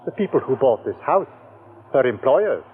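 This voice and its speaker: A man's voice: mid-range voice, sing-song, moderate pace, slight background noise, close to an everyday voice. A man playing a hesitant, deferential butler. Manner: polite, hesitant with many "uh"s. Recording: tinny old radio broadcast.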